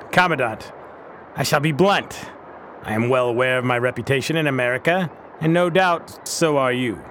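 A man's voice: mid-range voice, fairly bright tone, slight background noise. A man playing an earnest, pious reformed pirate captain in a radio drama, speaking bluntly in formal old-fashioned language.

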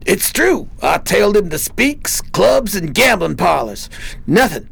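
A man playing a vengeful, slangy 1920s private detective in a radio play, with a high, creaky voice. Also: sing-song, measured pace, a bit bright, exaggerated voice. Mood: matter-of-fact.

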